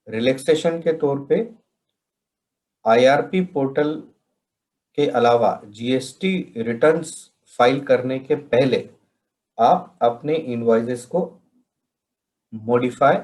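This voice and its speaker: A man from India presenting a question-and-answer tutorial in a deliberate way, pausing between phrases. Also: medium pitch, a little mellow, poor audio. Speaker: presenter of a software training session.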